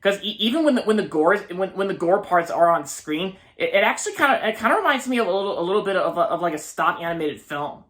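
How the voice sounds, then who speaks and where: A man's voice: high-pitched, animated, fast talker, hardly any pauses, a bit bright. A man in his twenties giving a casual, enthusiastic movie review, often repeating words and restarting his sentences. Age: 20s. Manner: enthusiastic, gushing.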